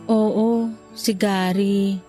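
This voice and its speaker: A woman with a Filipino accent playing a gentle, anxious young office worker in a radio drama. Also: medium pitch, fairly even intonation, very slow, soft background music. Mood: shy, friendly.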